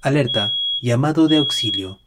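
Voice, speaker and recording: An actor playing a calm male smart-home computer that makes polite, machine-like announcements. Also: medium pitch, normal speed, heavy background noise, lightly acted.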